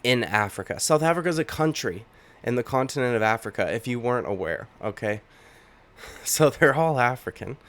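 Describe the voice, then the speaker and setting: A man's voice: mid-range voice, lively intonation, normal speed, fairly bright tone. Indignant man ranting. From Canada. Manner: indignant, ranting, repeats himself. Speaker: MMA commentary YouTuber. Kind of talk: opinionated reaction commentary.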